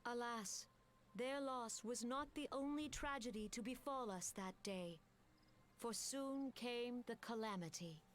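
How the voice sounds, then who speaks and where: An actress voicing a solemn woman military commander who addresses her people in a grave, formal and measured way. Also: on the high side, a little hiss or hum, natural voice. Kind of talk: video game cutscene voice acting.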